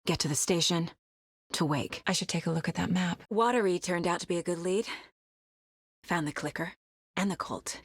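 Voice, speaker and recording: A woman's voice: on the low side, normal speed, pauses often, slightly rough, no room sound, studio quality. An actress voicing a focused, determined female investigator in a video game, troubled but dryly wry. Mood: resolute. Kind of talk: dramatic game voice lines.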